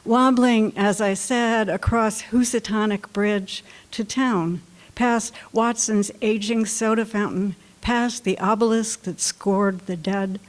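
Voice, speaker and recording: A woman with a light voice reads her own poetry at a library evening. She speaks at a middle pitch and takes the lines slowly and deliberately. In between poems she talks to the room in a relaxed, chatty way. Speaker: poet reading her work. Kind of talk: poetry reading.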